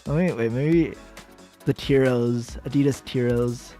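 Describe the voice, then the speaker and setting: A man's voice: medium pitch, a little slow, dark tone, faint music behind. A young man with an American accent chatting with viewers on a livestream, rambling and giggly, full of fillers and restarts. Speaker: VTuber streamer. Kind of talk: casual livestream chat with viewers.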